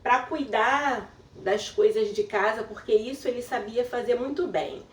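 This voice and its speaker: A woman's voice: a little high, a little slow, slight background noise. A woman from Brazil telling her story casually to camera, often laughing and explaining things for her husband. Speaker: vlogger.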